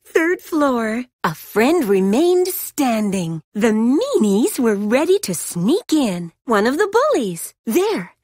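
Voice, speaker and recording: A woman's voice: fairly high pitch, sing-song, slow, few pauses, darkish tone, no room sound, studio quality, exaggerated voice. A woman playing a playful storybook narrator in a game, telling a children's adventure in a theatrical, sing-song, exaggerated way. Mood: playful, urgent.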